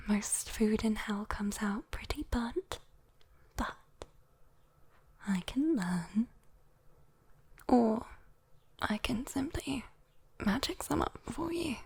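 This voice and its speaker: Breathy whispering British demon girl, acted by a woman. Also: a little high, darkish tone, heavily acted. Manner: slow, coaxing, intimate, playful. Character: sweet, teasing, possessive young female demon. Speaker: ASMR voice performer. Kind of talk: whispered ASMR roleplay.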